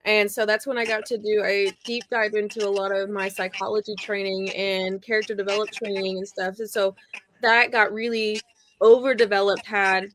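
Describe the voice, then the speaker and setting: A woman's voice: mid-range voice, normal speed, a little hiss or hum, poor audio. A woman from Oklahoma in her thirties, a wrestling ring announcer, chatting in a podcast interview and telling stories with frequent laughs. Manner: chatty, laughs often, animated storytelling.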